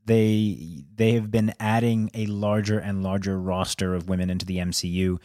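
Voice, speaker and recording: Casting: man, lowish pitch, dry sound, loose hesitant chat for a film podcast. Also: steady tone, moderate pace, darkish tone, dry recording. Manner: hesitant, thinking aloud, swearing. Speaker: film review podcast co-host. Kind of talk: casual chat.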